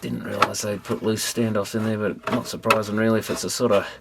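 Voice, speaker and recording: Aussie guy kinda muttering thru a repair job, lowish voice, rambly and offhand, pretty noisy audio. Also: flat delivery, brisk. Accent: Australian. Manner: offhand, musing to himself while working. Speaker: electronics repair hobbyist. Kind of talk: casual rambling workbench commentary. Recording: clearly noisy recording with clicks.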